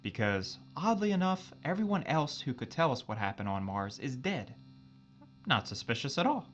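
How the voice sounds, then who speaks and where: Spaceship crewman male actor: exasperated, informal, sardonic, medium-pitched, science fiction audio drama, slightly lo-fi sound. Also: sing-song, normal speed, a bit bright, a little hiss or hum. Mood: sarcastic, accusing. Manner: informal, blunt, sarcastic.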